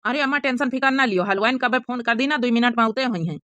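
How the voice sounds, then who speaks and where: A woman's voice: a little high, fast, a bit bright, no echo, muffled recording, lightly acted. A woman voicing a protective, generous young married son in a cartoon comedy, earnest and reassuring, with an Awadhi accent.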